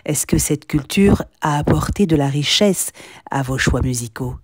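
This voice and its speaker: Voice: medium pitch, animated, unhurried, no echo, studio quality. An interviewer asking formal, polite questions, with some fillers.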